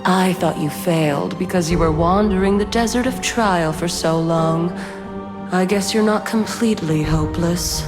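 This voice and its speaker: A woman voicing a haughty, cold ancient female spirit who judges heirs in a game, measured and condescending, with a long reverb. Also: lowish voice, close to an everyday voice. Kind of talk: dramatic game voice acting. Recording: long cavernous reverb, music behind.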